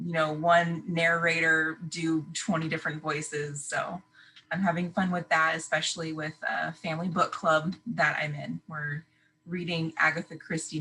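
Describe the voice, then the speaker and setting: A woman with a bright, forceful tone and a fairly low voice, speaking over a video call. She introduces herself to new students in a friendly, chatty way, as an advisor would on a webinar. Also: slight background noise, low-quality recording. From California. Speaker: academic advisor.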